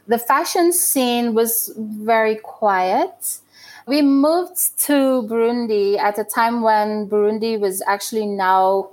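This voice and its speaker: A woman's voice: medium pitch, a little mellow. A middle-aged woman from Guyana giving thoughtful, explanatory interview answers in a conversational style.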